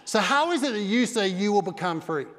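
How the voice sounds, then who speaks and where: A middle-aged pastor preaches with emphatic energy, his voice pitched high for a man. He speaks into a headset mic, and the big church hall rings with a long reverb behind him. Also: lively intonation, fairly quick, fairly bright tone. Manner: emphatic preaching with broad hand gestures. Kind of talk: sermon. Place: large dark church stage.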